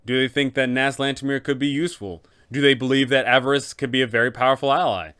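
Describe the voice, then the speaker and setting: A man's voice: medium pitch, fairly even intonation, quick pace, fairly bright tone, smooth. A man hosting an explainer for game masters, speaking in an emphatic, enthusiastic way. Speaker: host, game master giving advice. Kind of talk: semi-scripted explainer on tabletop roleplaying.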